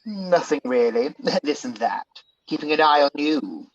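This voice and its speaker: An actor playing a scheming, smooth-talking villain who poses as a soothing conscience in a radio pantomime. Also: male voice, fairly high pitch, animated, a little slow, no room sound, dull audio. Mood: sly, soothing. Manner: smooth-talking, persuasive, insinuating.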